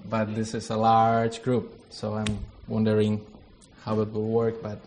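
Hesitant Czech-accented man, low flat voice. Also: a little slow, slight background noise. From Czech Republic. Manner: hesitant, many fillers, self-deprecating. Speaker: theology lecturer leading a workshop. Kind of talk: informal workshop talk with a prayer.

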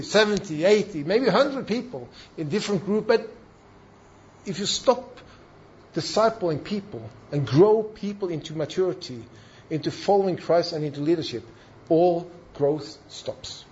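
Male seminar trainer, Norwegian accent, high voice, halting English with emphatic repeats; slightly dull, lo-fi recording. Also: expressive, darkish tone, a little hiss or hum. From Norway. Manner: halting non-native English with emphatic repetition. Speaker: church planting trainer. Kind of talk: seminar talk. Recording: dull, lo-fi sound.

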